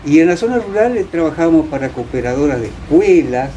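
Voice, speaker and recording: An older man with an Argentine accent from Entre Ríos, reminiscing in a conversational interview, haltingly searching for words and repeating himself. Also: fairly high pitch, expressive, dark tone, a little hiss or hum. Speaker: interviewee, former radio theatre actor.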